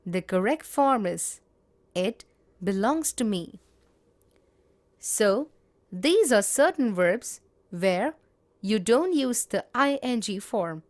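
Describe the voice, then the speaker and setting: A woman teaching grammar, reading example sentences in a measured, deliberate way. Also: mid-range voice, lively intonation. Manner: measured, spells out each example. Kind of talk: slow, deliberate grammar lesson.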